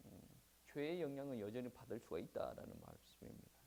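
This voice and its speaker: A male pastor with a Gyeongsang Korean accent preaching a sermon at a church service, asking the congregation rhetorical questions and pausing on "eo" fillers. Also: medium pitch, wildly swooping pitch, vocal fry, mellow, slight background noise.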